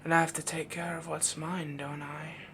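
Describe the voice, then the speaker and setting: A man playing a possessive, doting young werewolf boyfriend in a soft, breathy, half-whispered intimate roleplay audio, rambling and dominant as he coos endearments. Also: a little high, level tone, moderate pace, background noise, natural voice. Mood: tender, possessive.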